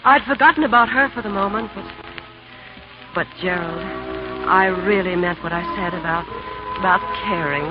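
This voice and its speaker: An actress playing a tender young woman in love, halting and earnest, in 1930s radio romance. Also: mid-range voice, close to an everyday voice. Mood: wistful. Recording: muffled 1930s radio recording with music.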